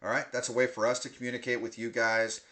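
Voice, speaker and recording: A young man hosting a homemade podcast chats loosely with his co-host. He rambles through shout-outs with plenty of "um"s and breaks into easy laughter. His voice sits at an ordinary middle pitch. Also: flat delivery, fast. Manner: rambling, laughs often, many fillers. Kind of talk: casual podcast chat.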